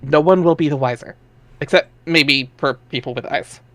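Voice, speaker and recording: Male narrator, slightly high voice, dry recording; scripted second-person storytelling for a webcomic. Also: moderate pace, a bit bright, no room sound. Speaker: narrator of a dramatic reading.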